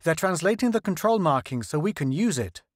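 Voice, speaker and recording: A man's voice: fairly high pitch, big pitch swings, fairly quick, no echo, studio quality, pitched above the performer's own voice. Man doing a keen young major. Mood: hopeful. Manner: hopeful, eager.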